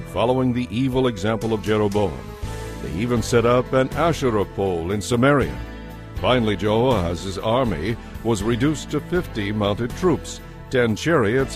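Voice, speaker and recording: Male scripture narrator, lowish voice, formal and measured, music underneath. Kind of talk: scripted audio Bible narration.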